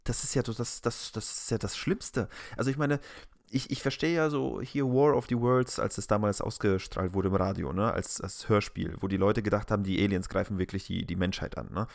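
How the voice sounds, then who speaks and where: Low voiced guy chatting on a podcast, dry close sound, rambly and kinda baffled. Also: fairly even intonation, vocal fry, dry recording. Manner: rambling, incredulous, halting. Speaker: podcast co-host. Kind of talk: casual podcast chat.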